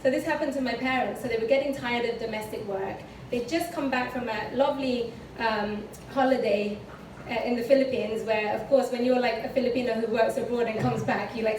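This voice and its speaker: A woman with a British accent gives a personal talk, speaking candidly with plenty of fillers and small laughs. Her voice sits a little high. She is picked up from a distance in an echoey room with clear background noise. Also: darkish tone. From United Kingdom. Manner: chatty and candid, frequent fillers, light laughs. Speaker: speaker giving a talk with slides. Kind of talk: conference talk, personal story.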